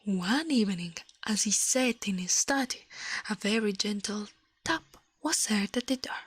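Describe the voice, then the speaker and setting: A woman with an Italian accent speaking in a soft, breathy ASMR style that often slips toward a whisper. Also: medium pitch, animated, unhurried, dry recording. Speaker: ASMR livestreamer. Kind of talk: ASMR, soft storybook reading and chat with viewers.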